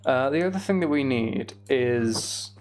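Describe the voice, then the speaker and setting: A young man giving casual, meandering gameplay commentary on a live stream, thinking aloud and chatting to viewers, with a creaky voice. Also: medium pitch, expressive, measured pace, a little hiss or hum.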